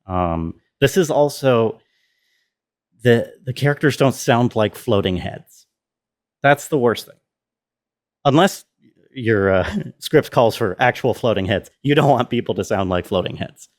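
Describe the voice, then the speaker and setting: Male sound designer, mid-pitched voice, informal explainer who keeps checking that the listener follows. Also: animated, unhurried. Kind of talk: casual tutorial walkthrough.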